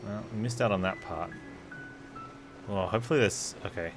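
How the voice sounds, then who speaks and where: Casual male let's-play commentator, slightly deep voice, chatting over game music. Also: normal speed. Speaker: game streamer playing and commenting. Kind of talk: casual live gameplay commentary.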